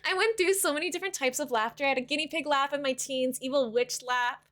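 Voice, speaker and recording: A woman in her thirties with a very high, bright, ringing voice talks casually to her live-stream chat. She rambles and fills her sentences with "like", and she warmly thanks new followers. Her tone is open and conversational. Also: quick pace. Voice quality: high-pitched voice. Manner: chatty, rambling, many "like" fillers. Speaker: Twitch streamer.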